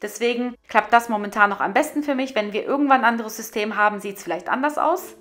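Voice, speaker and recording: A woman's voice: fairly high pitch, fast, fairly bright tone, faint music behind. Thirtysomething woman talking through her chores, chatty, explains every step. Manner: chatty, explaining step by step, repeats words for emphasis. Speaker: family vlogger. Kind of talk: voice-over narration of a household routine.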